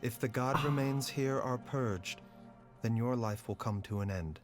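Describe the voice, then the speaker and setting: A man voicing a calm, gentle doctor in a video game, speaking in a measured, soft-spoken, grave way. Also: medium pitch, faint music behind, natural voice.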